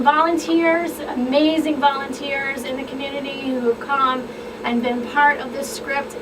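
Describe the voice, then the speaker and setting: A woman's voice: high voice, distant mic. A woman explaining her group's project on a radio talk show, enthusiastic and chatty. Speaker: guest expert. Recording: radio studio, off-mic, noisy.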